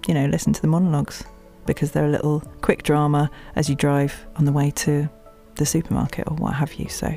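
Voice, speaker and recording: A woman's voice: low-pitched, little pitch movement, a little fast, hardly any pauses, warm tone, soft background music. A woman chatting informally in a casual interview as a voice actress.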